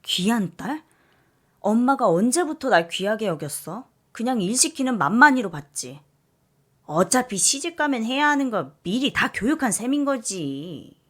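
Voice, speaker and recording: A woman voicing a fed-up, bitter young married daughter who speaks in a raised, heated, sharp way. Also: on the high side, big pitch swings, moderate pace, voice pushed up. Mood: resentful.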